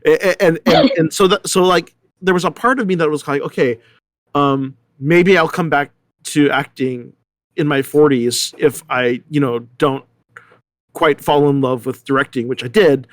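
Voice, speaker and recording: A man's voice: fairly high pitch, moderate pace, dry recording. A middle-aged man, an actor and director, speaking casually in a panel discussion.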